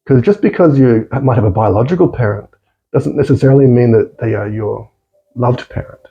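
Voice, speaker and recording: A man's voice: medium pitch, fairly quick, creaky, dull audio. A man with an Australian accent, a podcast guest talking thoughtfully and repeating words as he searches for phrasing. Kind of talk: reflective casual conversation.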